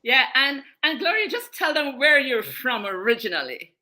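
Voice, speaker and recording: A woman's voice: high voice, big pitch swings, moderate pace, sharp tone. A woman from Guyana, born in England, hosting and presenting to an online group in an emphatic way, repeating key phrases. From born in England, raised in Guyana. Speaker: host, menstrual wellness coach.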